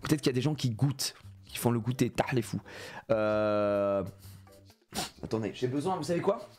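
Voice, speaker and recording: A man's voice: medium pitch, moderate pace. A man in his thirties with a cold, streaming a game live and joking loosely with chat, often swearing. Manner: animated, jokey, swears a lot, reads chat aloud. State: congested with a cold. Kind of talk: live gameplay stream chatting with viewers.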